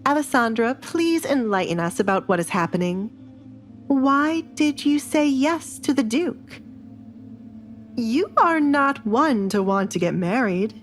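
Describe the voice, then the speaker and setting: A woman's voice: on the high side, animated, a little slow, faint music behind, voice pushed up. A woman playing an indignant, scheming noblewoman in an audio drama, haughty and accusing. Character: indignant scheming stepmother and jealous spoiled sister.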